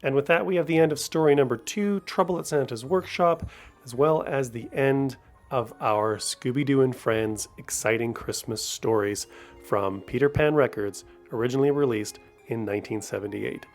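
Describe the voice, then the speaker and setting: A man's voice: mid-range voice, normal speed, faint music behind. A man hosting a podcast, giving casual, rambling solo commentary in a conversational way. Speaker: podcast host.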